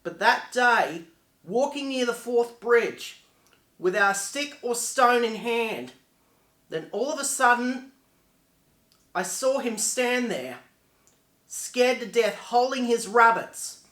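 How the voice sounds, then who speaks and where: A man playing a betrayed dead husband, telling his tale as a grave, deliberate poetic monologue. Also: extremely high pitch, expressive, bright, forceful tone, heavily acted. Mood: grave, haunted. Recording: echoey room.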